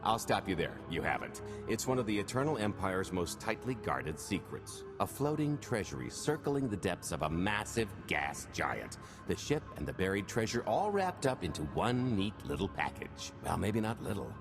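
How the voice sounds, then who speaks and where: A low, sweeping voice rolls out a sly pitch, all easy confidence and wry charm. a cocky alien scoundrel in a game cutscene, with orchestral music behind him. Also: male voice, sing-song, normal speed, few pauses. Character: smooth-talking male alien scoundrel, cocky. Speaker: video game character.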